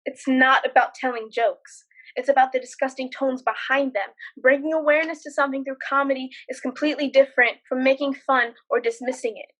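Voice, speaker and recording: A woman's voice: high voice, fairly even intonation, brisk, fairly bright tone, low-quality recording. A young woman playing an outspoken young paralegal in a student stage drama, with a sarcastic, firm way of talking. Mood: indignant, scolding. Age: young adult. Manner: sarcastic, firmly lecturing.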